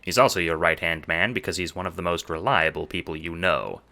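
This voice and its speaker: A man's voice: low voice, animated, brisk, gravelly, pushed and bright. A man narrating a scripted audio drama in the second person, with dramatic storytelling delivery.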